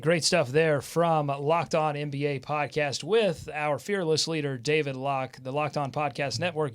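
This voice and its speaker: A man hosting a sports podcast in a jokey, informal way, talking in a lively style. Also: medium pitch, clean tone. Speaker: podcast host.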